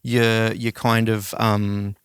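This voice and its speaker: A man's voice: lowish voice, level tone, slow pace, smooth, no room sound, clean studio sound. A man in his thirties talking casually as a podcast host, with loose, informal phrasing. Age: 30s. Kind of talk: casual chat about comedy and culture.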